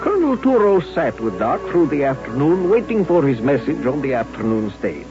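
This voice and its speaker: An actor narrating an old radio western in a scripted storytelling manner. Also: male voice, mid-range voice, big pitch swings, brisk. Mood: narrative. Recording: dull old-time radio recording. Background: music.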